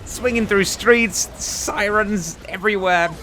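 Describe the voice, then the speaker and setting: A man's voice: high-pitched, takes it slowly, bright, forceful tone, a little hiss or hum, poor audio. A man narrating a scripted video game countdown as a reviewer, with an emphatic presenter's delivery. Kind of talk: scripted narration of a top five games list.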